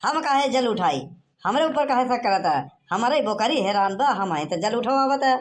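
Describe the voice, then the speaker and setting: A man's voice: extremely high pitch, lively intonation, moderate pace. A man voicing a blustering village husband in a cartoon, in a rustic Awadhi accent from eastern Uttar Pradesh. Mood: indignant. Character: blustering village husband searching for his lost goat. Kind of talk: cartoon dialogue in rustic dialect.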